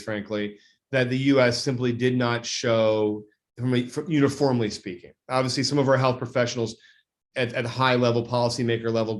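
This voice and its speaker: A man speaking as a state legislator guest, answering in a measured, deliberate way and often correcting himself. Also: medium pitch. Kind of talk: interview answers.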